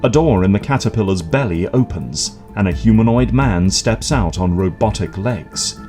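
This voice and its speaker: A man narrating an audio drama in a measured, descriptive way. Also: on the low side, lively intonation, mellow, faint music behind. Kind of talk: scripted narration.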